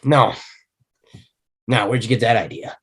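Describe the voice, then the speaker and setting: A man reading the part of a weary mid-thirties male cop in a video-call table read, with a low, quiet, dry delivery. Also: steady tone, a little slow, husky. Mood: defensive.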